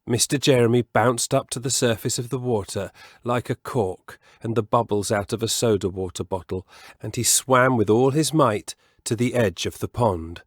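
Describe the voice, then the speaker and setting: A man telling a children's story as a scripted, expressive reading, measured and savouring the descriptive words. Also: mid-range voice, no room sound, studio quality.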